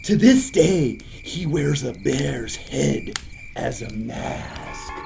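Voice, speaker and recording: Actor as animated, cocky young male camp counselor. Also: medium pitch, very slow, vocal fry, very noisy, exaggerated voice. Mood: ominous. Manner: theatrical, easily exasperated. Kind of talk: audio drama, campfire storytelling.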